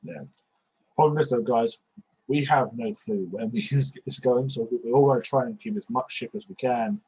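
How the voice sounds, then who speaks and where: A young man in his twenties chats over a video call, his voice husky and a little breathy. He rambles, restarts his sentences and piles on fillers. He talks into a headset mic from a small bedroom. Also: medium pitch, steady tone, normal speed, a little mellow, poor audio. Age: 20s. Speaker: podcast host. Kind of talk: casual video-call chat.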